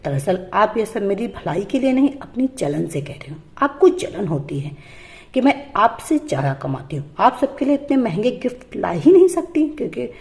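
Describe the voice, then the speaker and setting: A woman's voice: mid-range voice, big pitch swings, normal speed, vocal fry, a little mellow. Haughty young daughter-in-law, played by a woman storyteller. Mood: angry, scornful. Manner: boastful, accusing.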